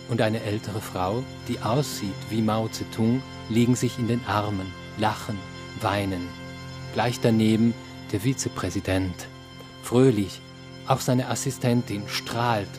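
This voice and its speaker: A man with a fairly deep voice narrates a radio play. His storytelling is measured and descriptive, addressed straight to the listener. Music runs clearly behind him.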